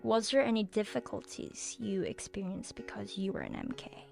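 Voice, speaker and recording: A woman's voice: mid-range voice, animated, normal speed, gravelly, darkish tone, soft background music. A young woman hosting a casual video podcast, chatty and full of questions, using "like" a lot. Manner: chatty, asks questions with filler "like". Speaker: host.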